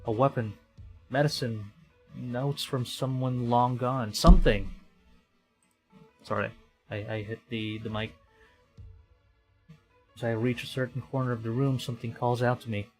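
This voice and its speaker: A man livestreaming a visual novel, reading it aloud with excitable, dramatic delivery and chatty casual asides. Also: mid-range voice, a little slow, pauses often. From Philippines. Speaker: streamer. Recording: music behind the voice. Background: game music.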